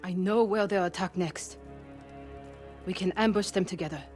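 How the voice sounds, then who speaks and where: A woman's voice: fairly low pitch, moderate pace, a little mellow, faint music behind, lightly acted. An actress playing a sly, hardened young woman archer in a feudal Japan video game, with a wry, teasing manner. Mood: cool, scheming. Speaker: game character actor. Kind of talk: dramatic video game acting.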